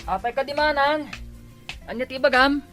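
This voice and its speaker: A woman's voice: high-pitched, normal speed, over music, natural voice. A woman playing a meek, put-upon young student, plaintive and submissive, in an Ilocano radio drama.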